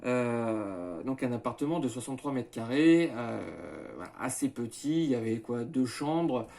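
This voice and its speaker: Hesitant man in his thirties, halting. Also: medium pitch, mellow. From France, Maine-et-Loire. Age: 30s. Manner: halting, many filler "euh" and restarts, methodical point by point. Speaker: small farm owner presenting his project. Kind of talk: hesitant self-presentation to camera.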